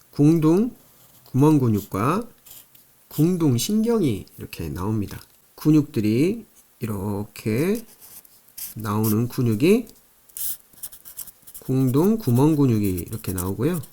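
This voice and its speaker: Lively-voiced male anatomy lecturer. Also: mid-range voice. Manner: explanatory, repeats terms, frequent fillers and pointing phrases. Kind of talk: recorded lecture over slides.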